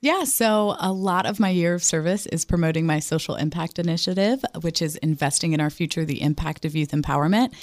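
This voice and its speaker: A woman's voice: lowish voice, brisk, hardly any pauses, dry recording. A young woman giving a chatty radio interview as a guest, with an upbeat, enthusiastic manner. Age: young adult. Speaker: pageant titleholder guest.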